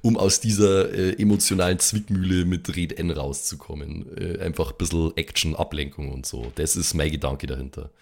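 A man's voice: sits low, moderate pace, vocal fry, hardly any pauses, darkish tone. A man playing on a tabletop game podcast, talking in a casual, conversational way. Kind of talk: casual chat.

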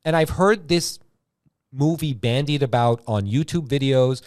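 A man's voice: mid-range voice, unhurried, no room sound, studio quality. A man hosting a casual chat show, telling stories in a rambling, slangy way and swearing freely. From Iran. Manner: animated slangy storytelling with frequent "like" and swearing. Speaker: host of a YouTube show.

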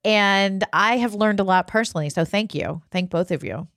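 A woman's voice: medium pitch, normal speed, fairly bright tone, dry recording, studio quality. A woman hosting a podcast in a casual, earnest and appreciative way. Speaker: podcast host. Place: remote video chat recording.

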